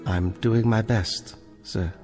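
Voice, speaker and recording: A man's voice: on the low side, fairly even intonation, slow pace, darkish tone, faint music behind. An actor playing an earnest, bookish, defiant male librarian on trial in a radio drama, polite and articulate with principled passion. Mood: wary, polite.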